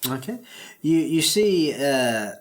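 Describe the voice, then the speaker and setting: A man narrating a tabletop game as game master, describing scenes in a halting, searching way. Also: fairly high pitch, very animated.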